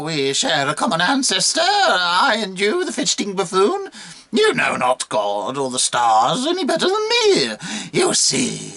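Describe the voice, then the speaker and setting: A man's voice: sits high, sharp tone, voice pushed up, full character voice. A man voicing a mocking, whining, foul-mouthed talking monkey in a dramatic poetry reading. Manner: taunting, theatrical, grandiloquent insults.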